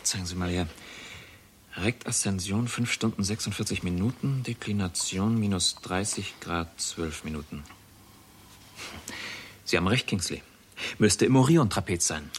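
An actor playing a sceptical senior British royal astronomer in a 1960s German radio drama, speaking in a measured, formal way. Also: male voice, deep voice, slight background noise, lightly acted. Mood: considered.

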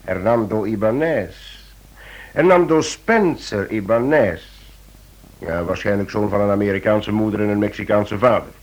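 An actor playing a persuasive police chief in a radio play, speaking deliberately and coaxing with repeated words. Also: male voice, fairly low pitch, a little mellow, highs cut off, close to an everyday voice. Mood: explaining. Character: persuasive male police chief pressing a friend for help. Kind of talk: Dutch-language radio play acting.

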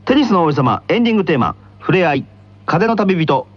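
Brisk male radio announcer over music. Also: a little high, big pitch swings, dull audio.

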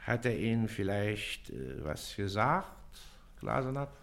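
A pompous Prussian baron in office, with a sharp, forceful voice that swings widely. He is condescending and suspicious, questioning people in clipped bursts, in radio play style. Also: on the low side, sing-song, measured pace, gravelly, heavily acted. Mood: probing, impatient. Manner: condescending, clipped, interrogating, haughty. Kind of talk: German radio play acting.

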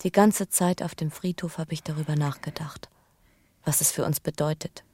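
An actress playing an earnest, intimate young pregnant woman in a German radio drama, speaking in breathy, confiding, deliberate phrases. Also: low-pitched, fairly even intonation, warm tone. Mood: hushed confession. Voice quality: breathy, often sinking to a whisper.

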